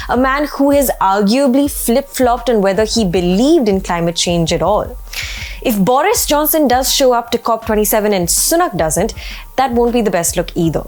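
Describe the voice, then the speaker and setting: A woman presenting a scripted news explainer in a measured, explanatory manner. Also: fairly high pitch, a little mellow.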